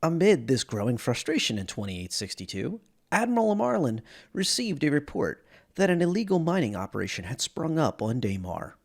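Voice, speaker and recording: A man giving a dramatic, measured narration of science fiction lore as a storyteller. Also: mid-range voice, sing-song, vocal fry.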